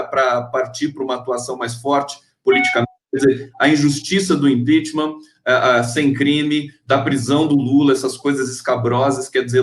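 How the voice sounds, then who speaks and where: A man's voice: on the high side, a bit bright, roomy sound. A man hosting a live-stream interview, effusive and full of fillers, reading out viewer comments. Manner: effusive, many fillers and restarts.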